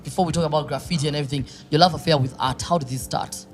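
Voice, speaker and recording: A man's voice: fairly high pitch, fairly even intonation, rapid-fire, soft background music. A man with a Kenyan accent hosting a podcast interview in a casual, playful way, often repeating phrases for emphasis. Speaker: podcast host.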